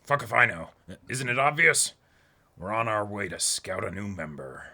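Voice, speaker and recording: A man with a bright, sharp-edged voice co-hosts a comic read-along stream. He chats informally and jokes, switching into reading dialogue out loud. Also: on the low side, lively intonation, normal speed, creaky. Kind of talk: casual chat and reading dialogue aloud.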